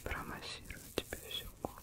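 A young woman playing a caring Victorian vampire mentor in a whispered ASMR roleplay, speaking softly, gently and soothingly close to the microphone. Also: quick pace, natural voice. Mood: soothing, attentive. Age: young adult. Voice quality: soft breathy whisper. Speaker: ASMR performer.

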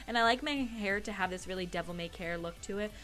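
A woman's voice: mid-range voice, fast, a bit bright. A young woman, giving a casual, laid-back tutorial voice-over as a beauty vlogger. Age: young adult. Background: background music.

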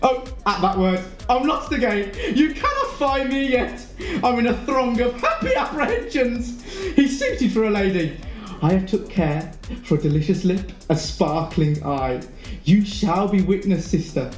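An excited, plotting revenger from a Jacobean audio drama, as performed by an actor. The middle-pitched voice revels in the scheme in an echoing room, with faint music behind. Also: a little slow. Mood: gleeful scheming. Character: excited scheming revenger in disguise, gleeful sibling. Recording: echoey room with music underneath.

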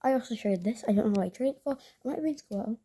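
Rambling young woman, dark husky voice. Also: on the high side, rapid-fire. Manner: rambling, many likes and fillers, drops to whispers. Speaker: vlogger. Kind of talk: casual chat showing a plush collection. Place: small bedroom at night.

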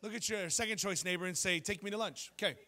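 A man in his thirties preaching a sermon on a church stage into a handheld mic, telling lively stories and asking the congregation questions. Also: high voice, fast talker. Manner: animated storytelling preacher, jokes and asks the crowd questions. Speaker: pastor.

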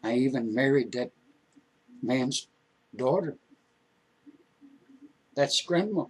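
A man doing a gentle old grandpa. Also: medium pitch, lively intonation, husky, low-quality recording. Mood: confessing. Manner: slow, tender, repeating words.

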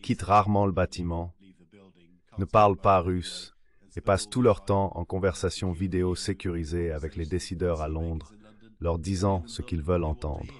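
Very deep synthetic male voice. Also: steady tone, moderate pace. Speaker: translated voice-over of an interview guest. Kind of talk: scripted translation voice-over.

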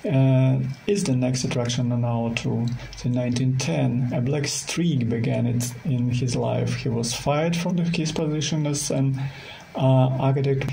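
Man, Russian accent, non-native English, halting careful delivery, gravelly fry, scripted tour guide narration, faint background noise. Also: mid-range voice, steady tone, mellow, poor audio.

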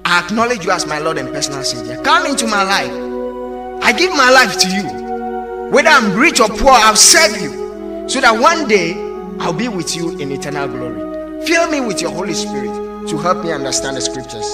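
A man's voice: sits high, very animated, normal speed, over music. A man preaching an evangelical sermon, exhorting emphatically and putting rhetorical questions to his listeners.

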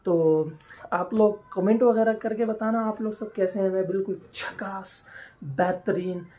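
A man's voice: sits high, steady tone, a little slow, warm tone. A young man chatting casually to viewers while making a shake in his kitchen and waiting at a drive-through. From Pakistan. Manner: easygoing, chatty, addresses viewers as brother. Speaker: vlogger. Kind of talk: casual vlog chat. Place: home kitchen, then a car in a drive-through. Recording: noisy handheld phone audio.

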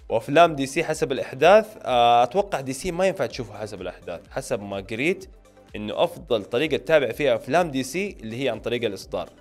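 Man in his late twenties, Saudi Hejazi accent, rapid-fire delivery, mid pitch, casual Q&A chat, close mic, music behind. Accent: Saudi Hejazi Arabic. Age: late 20s. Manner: informal, conversational, addresses viewers directly. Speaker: film and series YouTuber. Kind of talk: casual Q&A to camera, reading viewer questions aloud and answering. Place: small indoor home studio. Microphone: microphone on a stand close in front.

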